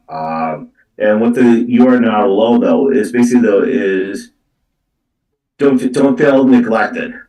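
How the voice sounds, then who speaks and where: A man giving a live spiritual card reading as a psychic medium, speaking in halting, repetitive phrases. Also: on the low side, darkish tone, far from the mic. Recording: roomy, echoey, away from the mic.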